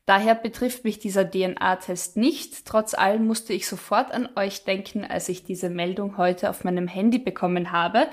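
A woman with an Austrian accent co-hosting a podcast, chatting casually and reading listener messages in an animated, chatty way. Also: mid-range voice, moderate pace, hardly any pauses. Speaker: podcast co-host.

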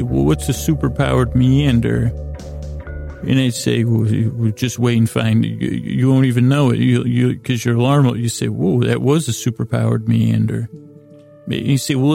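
A man with a creaky, gravelly voice hosting a sleep podcast, meandering and halting as he talks, often stammering and repeating his words. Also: medium pitch, fairly even intonation, dark tone, faint music behind. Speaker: podcast host. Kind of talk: rambling sleep podcast monologue.

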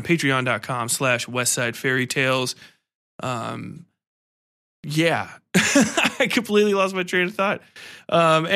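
A man's voice: on the high side, pushed and bright. A man hosting a podcast in a casual, rambling, off-the-cuff and sweary manner. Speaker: podcast host.

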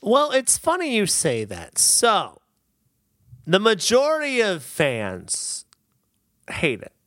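Female podcast co-host, emphatic and opinionated, lively intonation, leisurely delivery, swears casually. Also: mid-range voice, slow pace, no echo, studio quality. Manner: sweary, mock-outraged, emphatic.

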